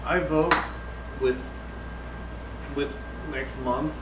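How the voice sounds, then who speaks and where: A man's voice: a little high, steady tone, extremely slow. A drunk man bantering casually on a livestream, joking and swearing as he goes. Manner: tipsy, profane joking. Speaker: livestream co-host. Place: indoors in a home kitchen. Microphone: distant room mic. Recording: roomy, distant mic, faint noise.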